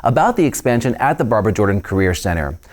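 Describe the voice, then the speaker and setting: A man's voice: on the low side, sing-song, fast talker. A man hosting a school district news show, presenting scripted segments in an upbeat, friendly way.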